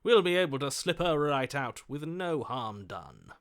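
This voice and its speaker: An actor voicing a lovestruck, chivalrous romantic in a video game, with florid, ardent delivery. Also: male voice, fairly high pitch, animated, a little slow, fairly bright tone, clean tone. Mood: ardent, grandiose. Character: lovestruck, theatrical romantic hero, grandiose and chivalrous.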